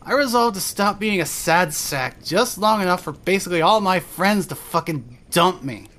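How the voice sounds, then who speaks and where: Woman acting a bitter, rambling young woman, swinging pitch. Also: expressive, moderate pace, fairly bright tone. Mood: bitterly indignant. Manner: rambling, sweary, self-deprecating. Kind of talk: fandub audio drama, first-person monologue.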